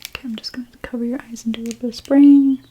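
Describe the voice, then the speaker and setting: A woman whispering breathily in an ASMR role play as a hairstylist, gently and unhurriedly narrating each step. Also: on the high side, fairly even intonation, racing, breathy edge.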